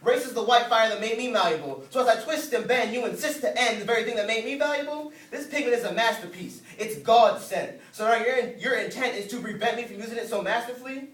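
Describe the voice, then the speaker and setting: A man's voice: very high-pitched, fast, few pauses, fairly bright tone. A man performing slam poetry as a spoken word poet, with a rhythmic, rhyming, emphatic delivery. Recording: roomy, distant mic, faint noise.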